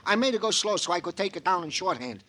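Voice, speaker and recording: High-pitched, fussy police underling. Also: male voice, lively intonation, fast talker, pushed and bright. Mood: urgent, fussy. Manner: eager, fussy, ornately wordy. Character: fussy, breathless male police station underling. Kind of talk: 1950 radio crime drama acting. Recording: vintage 1950 radio drama.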